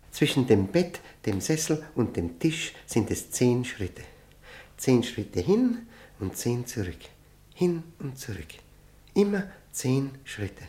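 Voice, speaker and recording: An actor playing a haunted, cultured former prisoner in a radio play, telling his story intensely and deliberately with heavy pauses. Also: medium pitch, a little mellow. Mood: despairing, agitated. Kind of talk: dramatic monologue in a radio play.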